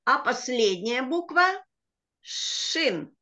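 A woman's voice: high voice, big pitch swings, extremely slow, bright, forceful tone. A middle-aged woman teaching a children's reading lesson over a video call, explaining word by word with patience. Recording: dull lo-fi video call audio.

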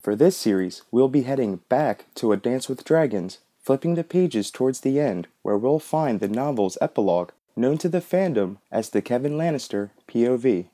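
A man's voice: medium pitch, expressive, normal speed, hardly any pauses, mellow. A man presenting a podcast with scripted, explanatory voice-over narration. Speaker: podcast host.

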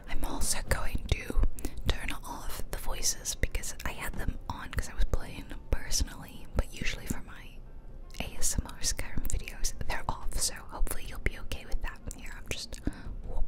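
A woman whispering chatty, playful ASMR commentary over a video game, close to the microphone. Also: normal speed. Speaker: host of an ASMR gaming channel. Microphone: close ear-to-ear ASMR microphone.

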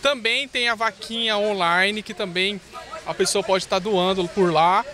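A man's voice: high-pitched, steady tone, a little slow, sharp tone. A Brazilian Portuguese man speaking hesitantly and gratefully into a handheld reporter mic outdoors at a street bazaar. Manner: hesitant, grateful, with many fillers. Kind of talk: interview answer. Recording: busy crowd noise behind.

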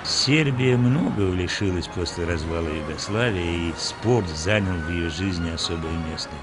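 A man reading scripted documentary narration in a measured, formal way. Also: sits low. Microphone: studio voice-over. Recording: voice-over with music underneath.

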